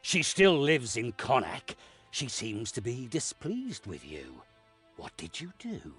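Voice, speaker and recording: An actor playing a stern, authoritative lord of a castle in a video game, husky and deliberate. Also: male voice, mid-range voice, wildly swooping pitch, fairly bright tone, faint music behind, heavily acted. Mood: measured, knowing. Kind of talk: video game cutscene acting.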